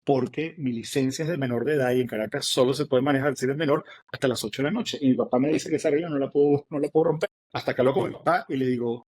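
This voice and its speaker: A middle-aged man with a Venezuelan accent, an author telling his story as an interview guest, animated and acting out what others said. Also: mid-range voice, breathy edge. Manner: animated, fast, acts out quoted dialogue.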